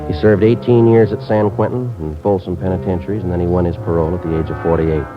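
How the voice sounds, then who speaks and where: A man playing a police sergeant who narrates a 1950s radio drama in a flat, clipped, matter-of-fact way. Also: sits low, fast talker, natural voice. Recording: dull old radio recording with music.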